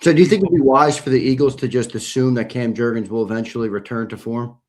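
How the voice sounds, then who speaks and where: A man's voice: mid-range voice, fairly even intonation, fast talker, a little mellow. A male sports talk co-host who drives the conversation with pointed questions. He pushes back on his partner's claims and moves the show along.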